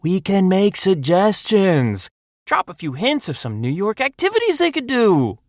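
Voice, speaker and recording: An actor playing a middle-aged husband and dry-witted, teasing co-conspirator in a comedic audio drama. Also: male voice, high-pitched, a little slow, no echo, exaggerated voice. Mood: conspiratorial. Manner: animated, dryly teasing.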